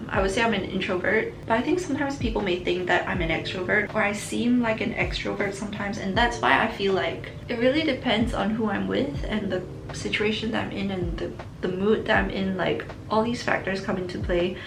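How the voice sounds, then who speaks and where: A woman's voice: mid-range voice, brisk, gravelly, echoey room, noisy background. A young woman from Singapore chatting casually in a vlog, answering viewer questions in a reflective way and laughing between answers. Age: young adult. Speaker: vlogger.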